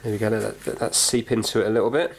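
A man's voice: on the low side, fairly even intonation, very fast. Chatty British man over background noise. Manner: chatty, explanatory, thinking aloud. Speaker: repair vlog presenter. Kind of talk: casual hands-on repair commentary. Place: indoor room with wooden floor, working at floor level. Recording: clear background noise with clicks.

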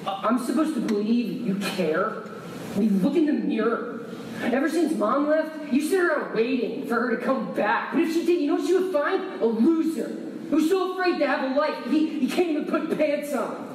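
A man's voice: medium pitch, fairly even intonation, normal speed, long pauses, lightly acted. A man plays a resentful teenage son on stage, bitter and dismissive. The sound is distant, very echoey and noisy, like a live theatre recording. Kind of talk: stage musical dialogue.

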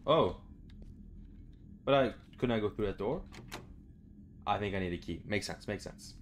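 A young man with a low voice talks casually through a game, muttering to himself and swearing freely. He is recorded in a room at home with faint noise behind him. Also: lively intonation, normal speed. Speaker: gaming video host. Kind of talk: casual let's play commentary. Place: small room indoors at home.

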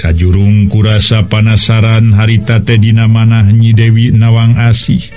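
A man's voice: on the low side, little pitch movement, moderate pace. A man with a Sundanese accent narrating a radio folktale as storyteller, with chatty asides. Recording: dull lo-fi, music behind. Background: music.

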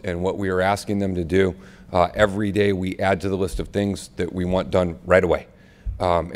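A man, a county board chair, speaking earnestly at a press conference, thanking partners with many fillers, at a podium mic in a large hall. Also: sits low.

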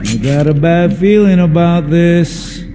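A man's voice: fairly high pitch, slow, noisy background. A man playing an uneasy but obedient young marine in a video game. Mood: uneasy, dutiful. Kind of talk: video game military drama.

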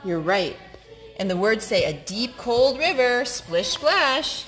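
A woman's voice: fairly high pitch, sing-song, slow, fairly bright tone, soft background music, low-quality recording. A woman chatting casually with small children and narrating a craft in an encouraging, sing-song way, recorded on a handheld phone at home. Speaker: parent hosting a children's craft video. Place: indoors at home, wood-floored living room. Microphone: handheld phone filming.

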